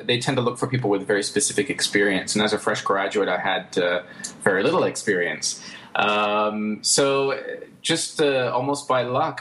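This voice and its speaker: A man from the United States talking as an interview guest, relaxed and hesitant, with easy laughs. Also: fairly low pitch, slight background noise. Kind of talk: casual interview talk.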